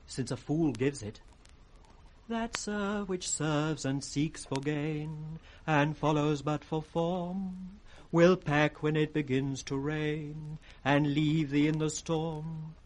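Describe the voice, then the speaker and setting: An actor playing an impudent, loyal court fool in radio Shakespeare, teasing and riddling in a sing-song way. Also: male voice, a little high. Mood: wry sing-song. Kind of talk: 1960s British radio Shakespeare acting.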